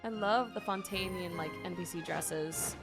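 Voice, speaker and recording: A woman's voice: lowish voice, moderate pace. A woman streamer giving casual, exclamatory, giggly reactions to a game. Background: game music.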